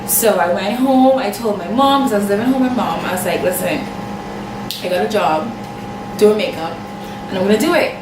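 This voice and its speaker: A young woman with a Bahamian accent tells a personal story to camera in a chatty, animated way, full of asides and quoted back-and-forth. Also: mid-range voice, a little fast, recorded from a distance. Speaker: makeup artist vlogger. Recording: echoey room, off-mic, steady background noise.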